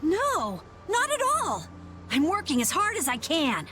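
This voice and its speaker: A woman's voice: very high-pitched, wildly swooping pitch, unhurried, sharp tone, slight background noise, heavily acted. A woman voicing an earnest, anxious young boy guild leader in a video game, speaking hesitantly.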